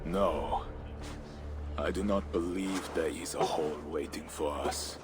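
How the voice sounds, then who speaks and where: An actor playing a bitter, brooding Viking war leader in a video game, speaking gravely and deliberately. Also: male voice, extremely low pitch, steady tone. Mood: grim, disillusioned. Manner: grave, deliberate, questioning oratory. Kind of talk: dramatic video game cutscene acting. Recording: music under the voice.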